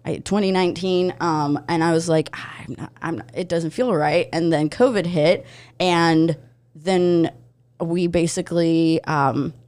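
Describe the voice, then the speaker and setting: Chatty woman with a lowish voice, from Ukraine. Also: a little slow, darkish tone. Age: 30s. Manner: chatty, laughs often, many fillers and restarts. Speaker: podcast guest, comedian. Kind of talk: casual storytelling chat. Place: podcast studio.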